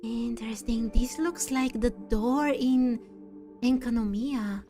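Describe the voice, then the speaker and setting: A woman with a fairly high voice gives casual commentary as she plays a video game. She reacts in short bursts, half to herself. Faint music runs underneath. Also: slow pace, dark tone.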